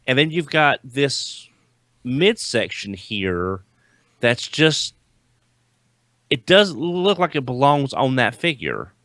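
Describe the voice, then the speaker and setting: A man in a casual panel chat, his pitch swinging freely as he goes. He restarts sentences haltingly, and the recording is close and dry. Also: sing-song, fairly bright tone, no room sound. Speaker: podcast panelist. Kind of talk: casual group chat reviewing toys.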